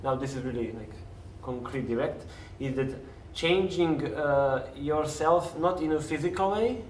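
A man with a Serbian accent talks casually and haltingly, feeling for his words in English. His voice is picked up away from the mic in a reverberant room, with faint noise behind it. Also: a little high, a little mellow, distant mic. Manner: hesitant, searches for words in non-native English. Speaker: interview guest, parkour coach. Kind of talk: casual interview conversation. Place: large empty café-like room, at a table indoors.

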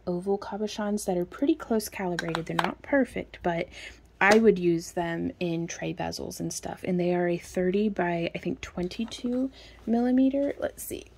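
Woman, lowish gravelly voice, rambling show-and-tell shop chat, noisy home recording. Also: moderate pace. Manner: chatty, rambling, laughs often. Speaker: craft shop owner. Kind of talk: casual product show-and-tell. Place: home craft workspace, indoors.

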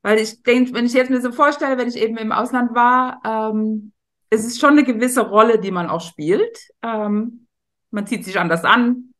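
A woman's voice: fairly high pitch, moderate pace, fairly bright tone. Reflective middle-aged woman chatting. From Germany. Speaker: guest, missionary NGO leader. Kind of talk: casual discussion.

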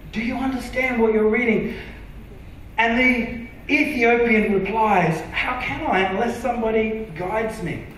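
An Australian man preaching a sermon from a church stage, earnest and emphatic, sometimes dropping to a soft, intimate tone. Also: extremely high pitch, a little hiss or hum. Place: large dark church auditorium stage. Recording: very echoey hall from far off.

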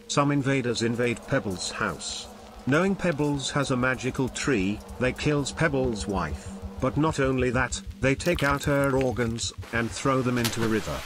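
Male storyteller over clear background noise. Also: medium pitch, fairly bright tone. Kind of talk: scripted fairy tale narration.

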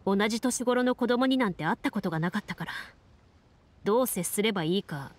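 An actress playing a composed, serious young woman duelist in a video game, speaking in a calm, measured, formal way. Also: medium pitch, slight background noise, close to an everyday voice. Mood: calm, reflective. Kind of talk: Japanese game voice acting.

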